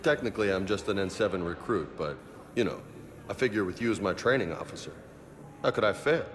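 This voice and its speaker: A man's voice: low voice, lively intonation, fairly quick, a little hiss or hum. An actor playing a cocky young male marine who jokes casually but stays loyal, in a game cutscene. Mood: easygoing. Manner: casual, joking, laughs easily. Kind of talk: video game cutscene acting.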